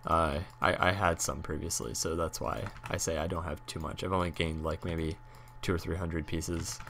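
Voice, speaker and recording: A young man with a hoarse voice from a cold, rambling casually with many fillers while talking about a game he streams. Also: rumbling low, flat delivery, moderate pace, a bit bright, a little hiss or hum. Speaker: gaming YouTuber and streamer. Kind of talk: casual talk over gameplay.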